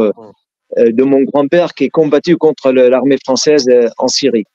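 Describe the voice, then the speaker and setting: Middle-aged Syrian man, Arabic accent, earnest interviewee, mid pitch, halting restarts, slightly muffled audio. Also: dark tone. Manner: frequent restarts and hesitations, earnest. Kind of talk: casual storytelling interview.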